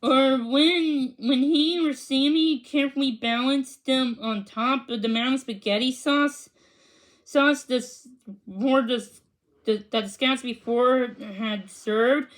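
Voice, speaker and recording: A woman reading a children's story aloud, a little haltingly, stumbling over and repeating words. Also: high-pitched. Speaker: storybook reader. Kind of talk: children's read-aloud narration.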